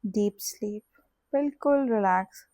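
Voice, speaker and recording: A woman with an Indian accent leads a guided sleep meditation in a soothing, deliberate way, leaving long pauses between phrases. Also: mid-range voice, mellow, no echo. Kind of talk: guided sleep hypnosis with body scan.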